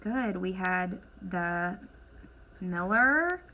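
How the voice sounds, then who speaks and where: A woman teacher leading an instructional lesson for children, asking questions, encouraging answers and dictating deliberately as she writes. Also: lowish voice, expressive, pushed and bright, a little hiss or hum. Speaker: elementary school teacher.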